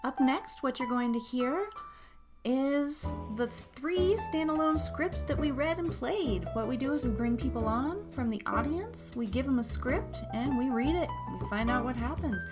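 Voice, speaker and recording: A woman's voice: high voice, lively intonation, measured pace. A woman hosting a show, giving an upbeat scripted introduction. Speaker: show host introducing episode. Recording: loud music behind the voice. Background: loud music.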